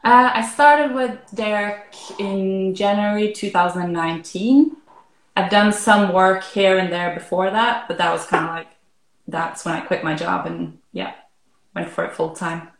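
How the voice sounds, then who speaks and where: Relaxed Norwegian woman guest. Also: mid-range voice, a little slow, reverberant. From Norway. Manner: relaxed, frequent "like" and "um". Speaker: arborist guest. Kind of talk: casual interview chat.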